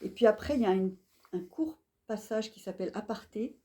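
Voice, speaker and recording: A woman speaks to an audience at a live bookshop event and introduces a novel with plain enthusiasm and admiration. Her voice has a soft, mellow, darker tone and sits at a medium pitch. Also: fairly quick. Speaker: bookseller hosting an author talk.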